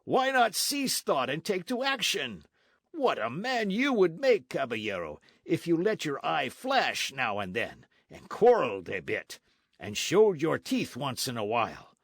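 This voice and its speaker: A loud, hearty soldier sergeant, gruff and boastful, rallying a friend to action. A man puts him on for a storybook reading. The voice swings widely in pitch and sits in a dry, close sound. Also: huge pitch swings, a little slow, no echo, full character voice. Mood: blustering.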